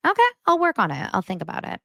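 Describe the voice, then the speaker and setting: A woman who is a podcast guest and hosts her own daily show, chatting casually and telling gossip stories in an animated way. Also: mid-range voice, quick pace, vocal fry, no room sound, clean studio sound. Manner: animated storyteller who acts out quotes.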